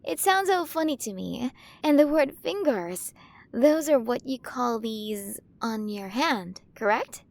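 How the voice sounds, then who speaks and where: A woman's voice: a little high, lively intonation, a little slow, vocal fry. A woman playing a towering alien queen in an ASMR roleplay, cooing to the listener with doting, possessive curiosity about tiny humans. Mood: fascinated, playful. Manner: cooing, fascinated, quaintly mispronouncing human words.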